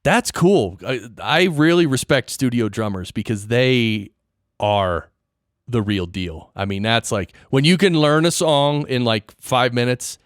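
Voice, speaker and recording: A man's voice: mid-range voice, lively intonation, a little slow, clean tone, dry recording, studio quality. A man hosting a podcast, chatting casually with his guest in an interview. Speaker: podcast host.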